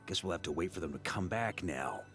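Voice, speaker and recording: An actor playing a boisterous, friendly knight commander in a video game, hearty and playful, with music underneath. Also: male voice, sits low, lively intonation, moderate pace. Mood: focused, upbeat.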